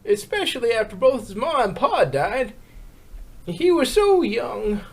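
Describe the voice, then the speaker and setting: A woman voicing a kindly elderly farm pony grandmother in a fan fiction reading, with folksy, drawn-out phrasing. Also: fairly high pitch, big pitch swings, darkish tone, husky, exaggerated voice. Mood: wistful, tender. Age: old.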